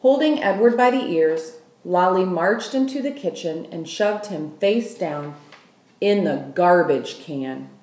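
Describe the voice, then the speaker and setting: Woman reading a kids book out loud, takes her time, very up and down, roomy echo. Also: fairly low pitch, animated, a little slow, far from the mic. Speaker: school staff member reading aloud. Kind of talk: scripted storybook narration, read aloud. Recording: echoey room.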